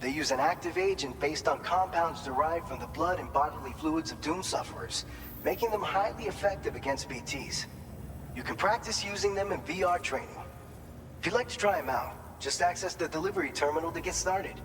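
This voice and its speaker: A man's voice: fairly high pitch, brisk, natural voice. A man playing an upbeat, chatty distribution center staffer, briefing a courier in an informal, explanatory way in a video game cutscene. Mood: encouraging, instructive. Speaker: briefing officer. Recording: noisy ambience, long hall reverb.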